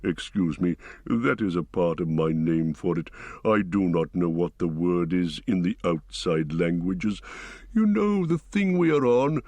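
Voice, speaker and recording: An ancient giant tree-shepherd, as a man reads him aloud in a storybook recording, speaking with great slowness and a lively, rising and falling pitch. He hums and muses between thoughts, never in a hurry, and the close, dry recording keeps every rumble near. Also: dry recording. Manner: slow, deliberate, musing with hums. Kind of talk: audiobook character reading.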